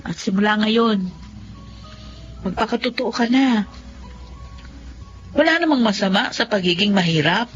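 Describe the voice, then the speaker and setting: An actress with a Filipino accent playing a firm, caring young adult older sister in a radio drama, direct and admonishing. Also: female voice, mid-range voice, lightly acted. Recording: muffled radio drama, faint music.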